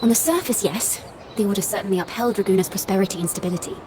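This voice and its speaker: An actress playing a poised, caring and thoughtful young bard in a video game. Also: female voice, medium pitch, rapid-fire, noisy background, natural voice.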